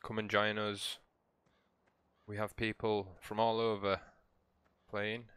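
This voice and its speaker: A man with a croaky, hoarse voice hosts his own gameplay channel. He talks in a low register with a bright, forceful edge and chats along in a relaxed, meandering way. It sounds like casual commentary over a video game. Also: slow, no echo. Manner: relaxed, rambling, chatty. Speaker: let's play host.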